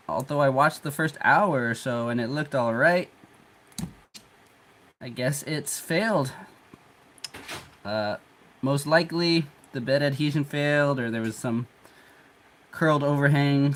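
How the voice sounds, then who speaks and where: A congested man hosting a livestream, chatting in a relaxed, rambling way while he works, chuckling often. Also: medium pitch, a little hiss or hum. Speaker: 3D printing livestream host. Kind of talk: casual livestream talk while working.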